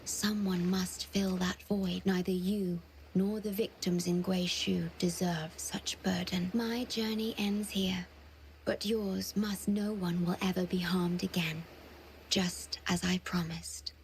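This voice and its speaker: A woman voicing a gentle, devoted, self-sacrificing guardian in a video game, speaking softly and solemnly with a breathy delivery. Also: lowish voice, fairly even intonation, takes it slowly, a little hiss or hum, poor audio. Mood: solemn farewell. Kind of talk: scripted video game cutscene acting.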